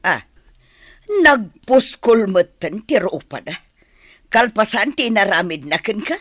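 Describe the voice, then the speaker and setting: A woman's voice: mid-range voice, big pitch swings, takes it slowly, a bit bright, a little hiss or hum. An actress playing a worried, scolding middle-aged Filipino mother in a radio drama.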